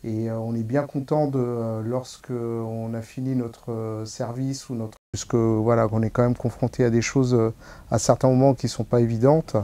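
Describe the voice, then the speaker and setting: A hospital nurse answering interview questions, hesitant and full of pauses. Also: male voice, medium pitch, flat delivery, warm tone. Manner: hesitant, many fillers.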